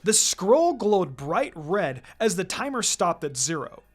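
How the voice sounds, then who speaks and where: Lively fan fiction narrator over music. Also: mid-range voice, unhurried. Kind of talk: expressive scripted story narration. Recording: music behind the voice. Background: background music.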